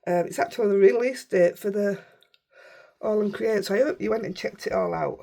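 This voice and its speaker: A middle-aged woman with a Yorkshire accent, hoarse and unwell, chatting through a casual crafting tutorial in a croaky voice and rambling as she goes. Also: medium pitch, brisk, mellow. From Yorkshire, England. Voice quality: croaky, rough voice. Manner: chatty, rambling, self-interrupting. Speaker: craft channel host.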